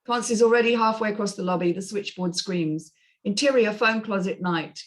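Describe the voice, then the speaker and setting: A woman serves as narrator for a script reading. She delivers stage directions and scene descriptions in a mid-pitched voice. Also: moderate pace.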